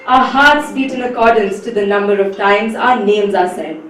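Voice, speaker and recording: A woman's voice: medium pitch, normal speed, fairly bright tone, slight background noise, close to an everyday voice. A woman playing a pompous male council herald in an amateur stage play, declaiming grandly. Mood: solemn. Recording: very echoey hall, far from mic.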